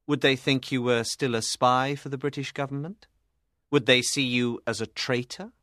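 A man playing a grim, calm lawyer who confronts people firmly and deliberately in a dramatised audiobook. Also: medium pitch, no echo, studio quality, natural voice. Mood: calm, accusing. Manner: firm, deliberate, calmly menacing. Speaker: voice actor in a dramatised story.

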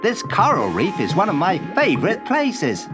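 A chirpy little storybook merman, very high and swooping with emphatic, excitable delivery. Music plays behind. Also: moderate pace. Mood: delighted.

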